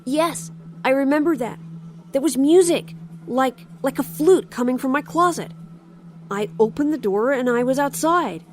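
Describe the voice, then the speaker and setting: A woman's voice: high voice, a little mellow, slight background noise. A woman voicing a dazed, innocent captive child, hesitant and puzzled, in an audio drama. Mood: puzzled, wistful. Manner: hesitant, halting.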